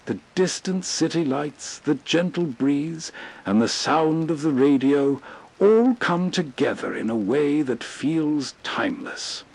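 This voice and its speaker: Slow, husky male host. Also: a little high, lively intonation, a little mellow. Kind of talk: scripted narration, nostalgic late-night hosting.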